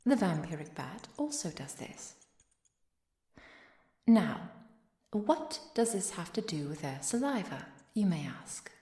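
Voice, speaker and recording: A woman playing a composed, caring professor and vampire hunter in an ASMR roleplay, speaking softly and precisely, often near a whisper. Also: lowish voice, sing-song, breathy edge, dry recording, studio quality, heavily acted. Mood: scholarly lecturing. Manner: measured, precise, softly commanding.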